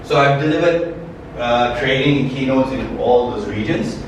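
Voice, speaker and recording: A man's voice: medium pitch, moderate pace. A man in his forties from Malaysia, giving a cybersecurity training session in a conversational way, often correcting himself and asking rhetorical questions. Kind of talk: workshop presentation. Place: training room. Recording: echoey room, far from the mic, noticeable noise.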